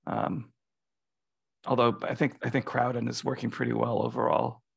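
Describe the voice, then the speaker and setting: A man's voice: mid-range voice, little pitch movement, moderate pace, vocal fry, husky, no echo, clean studio sound. A man on an online meeting giving tentative, hedging remarks. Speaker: meeting participant.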